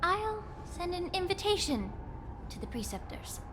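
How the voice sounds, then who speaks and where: A woman voicing a courteous, shrewd female official in a game, speaking in a formal, measured and careful way. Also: very high-pitched, very animated, noisy background, heavily acted. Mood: formal, resolute. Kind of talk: scripted game dialogue.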